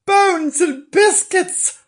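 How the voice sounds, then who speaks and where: A brisk, easily exasperated dog from a children's storybook, acted by a man. The delivery is scolding and exclamatory, with very lively pitch. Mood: astonished.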